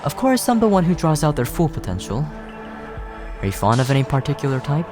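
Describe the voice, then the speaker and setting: A man voicing a lofty, coolly amused creator-god creature in a video game, with measured, pompous delivery. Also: fairly high pitch, very animated. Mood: self-assured. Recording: game music behind the voice.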